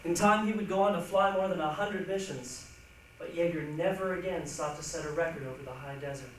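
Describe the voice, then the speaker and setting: A woman's voice: sits low, fairly quick. A woman performing a solemn recited reading as part of a readers' theatre group. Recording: noisy echoey hall, distant mic.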